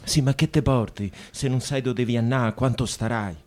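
A man's voice: medium pitch, normal speed, a little mellow, close to an everyday voice. An actor in a radio play voicing a wry, practical working-class Roman man with a Roman accent. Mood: wry, urgent. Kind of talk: radio drama monologue.

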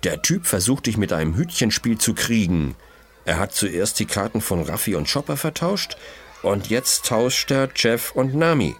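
A man voicing a clever, bossy schoolgirl detective, explaining quietly and briskly in a children's audio mystery. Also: on the low side, big pitch swings. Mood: eager, instructing.

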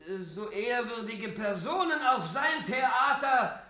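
A man's voice: very high voice, takes it slowly, sharp tone, exaggerated voice. An actor playing an ailing, irascible stage comedian in a radio drama, declaiming indignantly, stammering and repeating his phrases. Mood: indignant, faltering. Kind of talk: theatrical German radio drama acting. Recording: echoey theatre stage with audience behind.

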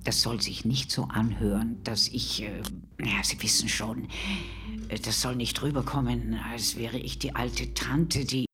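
An actress playing a flustered, defensive female neighbour in a radio play, halting and self-conscious, often half-whispering. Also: extremely low pitch, steady tone, a crowd in the background. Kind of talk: naturalistic radio play acting. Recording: noticeable background noise.

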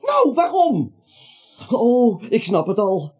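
An actor playing a nervous, bewildered everyman in a Dutch radio play, stammering and pleading in a husky voice. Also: very high-pitched, huge pitch swings, slow, darkish tone, soft background music, heavily acted. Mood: anxious, protesting. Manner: stammering, pleading, repeats himself. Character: nervous, bewildered, neutral everyman protesting his innocence. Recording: muffled, dull radio play recording.

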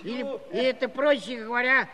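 Very high-voiced, rambling old villager. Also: male voice, pushed and bright. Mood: amused admiration. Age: older. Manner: halting, exclaiming, folksy. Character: comical old village grandfather, admiring and rambling. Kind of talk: theatrical musical comedy acting.

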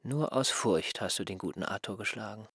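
An actor playing Jeremias, a tired and sullen former assistant, who speaks in a cold, dismissive way in a radio play. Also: male voice, lowish voice, a little fast, a bit bright, slightly rough, dry recording, studio quality. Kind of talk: German radio play acting.

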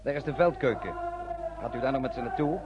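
An actor in a radio play as a genial, paternal German army sergeant, chummy and coaxing. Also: male voice, mid-range voice, fast, over loud music, close to an everyday voice. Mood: concerned, coaxing. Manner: chummy, coaxing, talks in reassuring little questions. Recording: noisy, dull old radio play recording.

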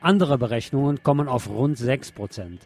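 A man's voice: medium pitch, lively intonation, normal speed, darkish tone. A man reads scripted political commentary as a radio presenter. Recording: music playing behind the voice. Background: music.

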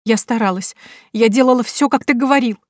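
A woman voicing a tearful young female impostor who pleads in a high, sobbing voice. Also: brisk, a little mellow, dry recording, natural voice. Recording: dry close recording.

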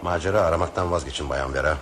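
An actor playing a sceptical train passenger with a wry, cautioning manner in a radio drama. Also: male voice, rumbling low, racing, a bit bright. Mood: warning. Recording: noisy radio play recording.

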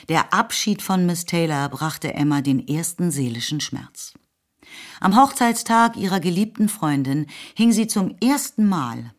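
Low-voiced woman narrating a literary radio play. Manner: measured, expressive storytelling. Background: faint music.

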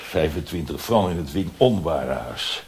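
An actor playing a gruff, lumbering, shabby officer in a radio play. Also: male voice, extremely low pitch, expressive, normal speed. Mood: dry, impatient. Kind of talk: radio drama acting.

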